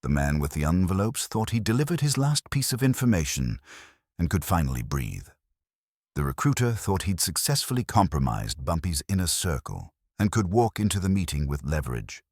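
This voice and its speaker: Super deep guy telling a crime story, clipped dramatic lines, husky, lively up and down. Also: moderate pace, no room sound, studio quality. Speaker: narrator. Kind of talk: scripted true-crime narration.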